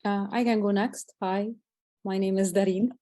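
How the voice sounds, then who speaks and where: A woman from Egypt introduces herself as a student, halting and restarting phrases. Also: medium pitch, steady tone, dark tone, no echo, clean studio sound. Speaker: student, cybersecurity worker.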